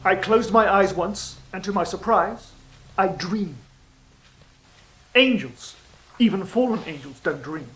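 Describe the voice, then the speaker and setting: An actor playing a sly, cynical fallen angel in an audio drama, speaking in a measured, philosophical, teasing way. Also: mid-range voice, animated, a bit bright, rough recording. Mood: musing, brooding. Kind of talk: theatrical audio drama dialogue and monologue.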